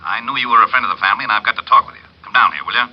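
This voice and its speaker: Muffled, crackly vintage radio: urgent male police lieutenant, a male actor on a phone, very low, grim. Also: rapid-fire, close to an everyday voice. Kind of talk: 1940s radio acting.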